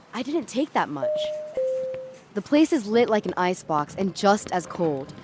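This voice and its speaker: A woman's voice: on the low side, close to an everyday voice. A woman playing an uneasy, tripping young woman walking home at night, narrating in the first person in a dramatic audio story. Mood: confused, anxious. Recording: clear street and traffic ambience behind.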